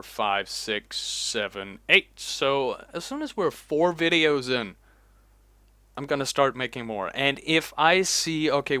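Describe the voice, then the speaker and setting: Slow-talking man, bright voice. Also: mid-range voice, clear, resonant tone, no room sound. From Denmark. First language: Danish. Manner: rambling, unhurried, chatty. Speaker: host. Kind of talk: casual reaction vlog commentary. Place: home, alone at a computer.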